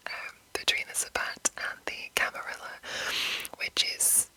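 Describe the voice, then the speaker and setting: A woman whispering softly and unhurriedly in an ASMR style, explaining things in a loose, rambling way with drawn-out pauses. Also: dry recording, poor audio. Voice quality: breathy unvoiced whisper. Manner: slow, hushed, drawn-out pauses. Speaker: ASMR host introducing a roleplaying game.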